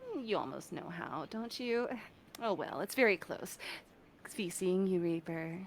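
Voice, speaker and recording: A woman voicing a taunting female conscience in a mirror, cool, mocking and deliberate. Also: lowish voice, lively intonation, slight background noise.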